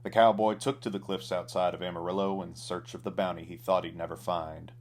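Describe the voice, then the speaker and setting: A man with a low voice reads a scripted comic Western tale. He delivers it as a storyteller, dramatically and in rhythm. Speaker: storyteller, author reading own ballad.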